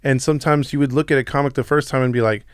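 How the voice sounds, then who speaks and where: A middle-aged man talks casually as a podcast co-host, in a smooth, clear voice of ordinary pitch. The sound is close and dry. He is informal and loose, often breaking off and restarting a sentence mid-thought. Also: steady tone, very fast, dry recording. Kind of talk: casual chat, reminiscing about pop culture.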